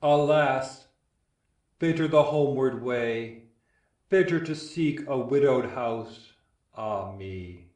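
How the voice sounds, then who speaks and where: A despairing widowed king played by a man laments in Greek tragic verse. His delivery is slow, mournful and declamatory. He sounds distant, in an echoing room. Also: medium pitch, a little mellow, lightly acted. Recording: echoey room, distant from mic.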